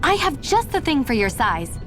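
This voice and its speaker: A woman's voice: high voice, sing-song, moderate pace, fairly bright tone, faint music behind. An actress playing a cheery young resistance woman in a video game, teasing and coaxing in a friendly way. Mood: playful.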